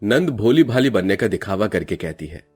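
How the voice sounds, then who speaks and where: A man's voice: lowish voice, expressive, moderate pace, darkish tone, breathy edge, faint music behind. A man narrating a cartoon family story in a dramatic, scripted storytelling style.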